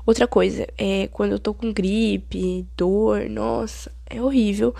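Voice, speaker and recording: Late-teen woman, Brazilian Portuguese accent, podcast host with a head cold; husky, dry close sound, chatty and dramatic. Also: mid-range voice, fairly even intonation, slow, mellow, dry recording. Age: late teens. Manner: chatty, rambling, dramatic complaining. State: stuffy-nosed with a cold. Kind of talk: casual solo podcast chat.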